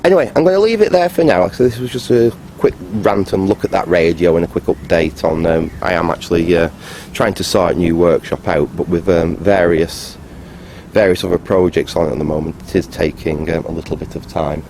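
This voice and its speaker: A man with a low-pitched voice talks off the cuff, as if showing someone around. His delivery is halting and rambling but matter-of-fact. There is clear noise behind him on a casual handheld recording. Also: a little mellow. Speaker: vlogger, hobbyist restorer. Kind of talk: casual unscripted video tour.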